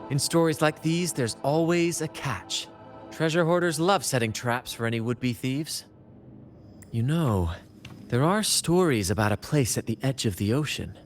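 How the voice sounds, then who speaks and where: A man plays a young seafaring adventurer from a video game. He is assured and playful, with a mid-pitched voice and a theatrical manner. Music plays clearly behind him. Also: expressive, measured pace, natural voice. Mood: intrigued, wry.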